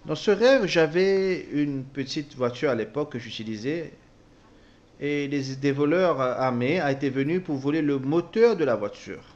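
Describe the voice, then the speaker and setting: A man interpreting a personal testimony, speaking haltingly with repeated words and self-corrections. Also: on the high side, animated, darkish tone, dull audio. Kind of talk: spoken translation of a personal testimony.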